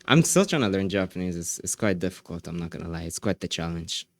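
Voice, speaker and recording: Brief: male streamer, low voice, dry desk mic, easygoing chat replies. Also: expressive, quick pace, vocal fry, no echo. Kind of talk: casual live-stream chat.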